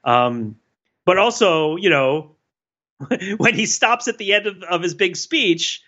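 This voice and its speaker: A man co-hosting a podcast, thinking out loud in casual analytical talk, rambling with restarts and laughs. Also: high-pitched, slow pace. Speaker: podcast co-host. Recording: dull lo-fi sound.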